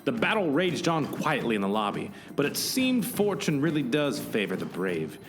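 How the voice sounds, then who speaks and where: A man's voice: medium pitch, very animated, normal speed, natural voice. A man playing a melodramatic, self-important action hero who narrates in mock-epic style in a comedic audio drama. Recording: music bed underneath.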